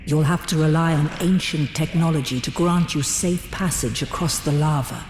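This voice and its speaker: A woman playing a grave, dramatic storyteller, narrating fantasy game cutscenes in a measured, portentous way. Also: sits low, a little mellow, close to an everyday voice. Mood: ominous, measured. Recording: roomy, long reverb, music bed. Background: clear background music.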